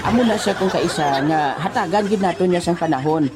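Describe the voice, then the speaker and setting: A woman's voice: fairly low pitch, fairly quick, over a noisy crowd, lightly acted. A woman voicing a chatty, pious old grandpa with a Western Visayan Filipino accent, folksy and emphatic, in comic radio chat. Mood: folksy, earnest. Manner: folksy, emphatic, repeatedly addressing a crony. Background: music.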